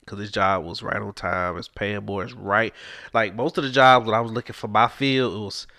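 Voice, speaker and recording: A man's voice: fairly low pitch, steady tone, normal speed, bright, forceful tone, no room sound, clean studio sound. A man, hosting a casual podcast with a stuffed-up, congested nose, earnest and encouraging and sliding into preacher-like exhortation. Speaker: podcast host.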